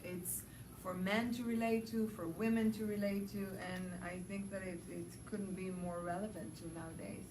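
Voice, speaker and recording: A woman's voice: medium pitch, steady tone, moderate pace. Enthusiastic woman actor in an echoey room. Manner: enthusiastic, with restarts and lists. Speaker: lead actor of the film. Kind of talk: warm interview answers. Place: large public building. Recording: echoey and distant, with noise.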